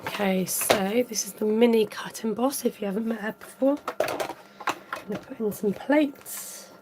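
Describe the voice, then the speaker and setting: A woman hosting a live card-making tutorial, thinking aloud as she works, chatting with viewers and reading out their comments. Her voice is a little croaky. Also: medium pitch, unhurried, very noisy. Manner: rambling, thinks aloud while working. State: slightly croaky voice. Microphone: overhead camera above a craft table, voice from off-frame.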